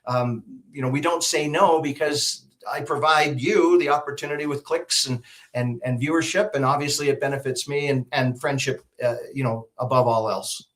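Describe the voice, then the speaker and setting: A middle-aged man from Alberta with a Canadian accent, giving advice as an interview guest in an emphatic, polished, deliberate way. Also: medium pitch, steady tone, a bit bright. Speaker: guest, public speaker and emcee. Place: video call.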